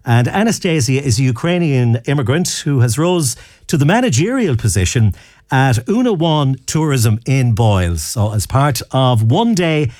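A man's voice: medium pitch, big pitch swings, normal speed, a little mellow, dry recording, clean studio sound. A man hosting a radio show, chatting in a jokey, easygoing way. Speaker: radio host. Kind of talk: casual radio chat.

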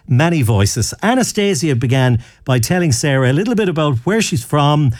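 A man's voice: on the high side, animated, moderate pace, a little mellow, dry recording. Guy on the radio, chatty and jokey, voice jumps around a lot, dry studio sound. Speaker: radio host. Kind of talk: casual radio chat.

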